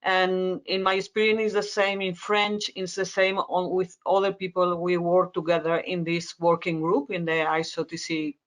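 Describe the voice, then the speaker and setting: Halting Spanish-accented woman explaining. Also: lowish voice, little pitch movement. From Spain. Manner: halting, word-searching explanation with repetitions. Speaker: expert panelist. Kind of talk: conference panel discussion.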